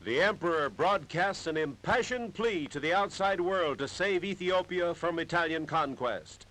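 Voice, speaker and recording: A man's voice: fairly high pitch, animated, moderate pace, over music, low-quality recording. An American man narrating a 1930s newsreel in a dramatic, declamatory manner on an old period soundtrack.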